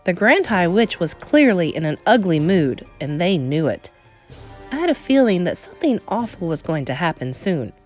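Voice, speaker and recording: A woman reading a children's story aloud as an expressive narrator. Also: lowish voice, normal speed, faint music behind. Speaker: storybook reader.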